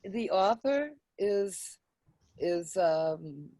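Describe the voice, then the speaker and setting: A woman's voice: fairly low pitch, expressive, very slow, mellow, no room sound. A woman chatting casually as a participant in an online group discussion over a video call.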